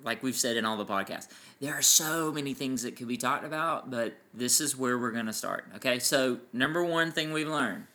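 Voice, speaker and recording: A man with a loud voice co-hosting a casual parenting podcast, explaining his points with enthusiasm. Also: mid-range voice, moderate pace. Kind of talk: casual chat. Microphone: farther from mic than co-host.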